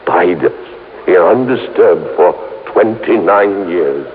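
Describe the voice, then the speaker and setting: An actor in an old radio drama playing an eccentric, boastful older recluse who speaks in halting, repetitive, excitable bursts with mumbled hums. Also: male voice, sits low. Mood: proud, obsessive. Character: eccentric reclusive retired horror-film maker, squat castle owner. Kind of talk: 1950s radio drama acting. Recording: muffled, noisy old radio recording.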